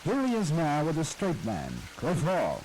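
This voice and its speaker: A man's voice: a little high, huge pitch swings, normal speed, heavy background noise. A man narrating a documentary on old radio, introducing clips.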